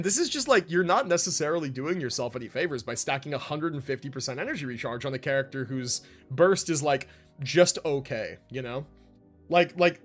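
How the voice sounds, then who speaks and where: A man doing casual livestream commentary on a game, explaining conversationally and often checking in with the listener. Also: on the high side.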